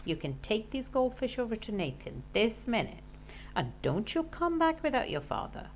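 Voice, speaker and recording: A woman's voice: on the high side, very animated, moderate pace, slight background noise. A woman voicing a stern, scolding mother in a children's storybook. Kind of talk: storybook character voice.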